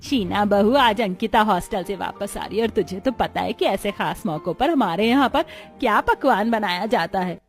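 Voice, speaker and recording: A woman's voice: mid-range voice, moderate pace, hardly any pauses, soft background music, natural voice. A woman voicing a harsh, domineering older mother-in-law in a cartoon, scolding and cursing. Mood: commanding. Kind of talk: Hindi cartoon voice acting.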